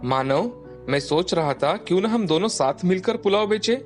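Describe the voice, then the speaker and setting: A man voicing a kind, forgiving adult pulao seller in a cartoon, speaking gently and reassuringly. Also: sits high, lively intonation, normal speed, faint music behind. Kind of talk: cartoon voice acting.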